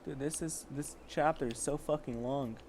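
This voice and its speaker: A man with a fairly high voice reads a story aloud in an informal way. He comments sarcastically and swears freely. The amateur recording has some faint background noise. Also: steady tone, moderate pace, darkish tone. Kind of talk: casual fan fiction reading with asides.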